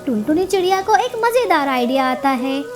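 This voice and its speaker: A woman's voice: high voice, very animated, moderate pace, over loud music. A woman reading scripted narration for a children's story.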